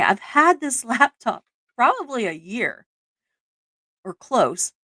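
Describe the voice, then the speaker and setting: A woman's voice: medium pitch, fairly bright tone, no echo. Chatty Southern woman, dry close sound. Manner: chatty and self-deprecating, laughs often, many fillers. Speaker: live stream host. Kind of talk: casual chat on a live stream.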